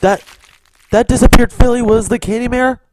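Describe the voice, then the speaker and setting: A woman's voice: fairly high pitch, steady tone, moderate pace, very noisy. A woman hosting a reaction video, speaking in casual, off-the-cuff comments.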